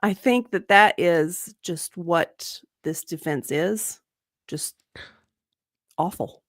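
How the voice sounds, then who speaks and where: A woman giving her opinions in casual co-host chat, explaining her thoughts in a deliberate, everyday way. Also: fairly low pitch, dry recording, clean studio sound. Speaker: co-host commentator.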